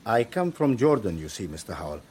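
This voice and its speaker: An earnest refugee chemist from Jordan in a radio play. He is polite and takes himself seriously, with very lively intonation. There is faint ambient noise behind him. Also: fairly low pitch, brisk. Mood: earnest, courteous. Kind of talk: radio drama acting.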